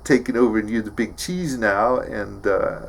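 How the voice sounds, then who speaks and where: A man playing a slow-witted, tough action-movie hero who stammers and mumbles through favours and boasts in a comedy sketch. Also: on the low side, gravelly. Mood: casual pleading. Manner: halting, mumbling, stammering braggadocio.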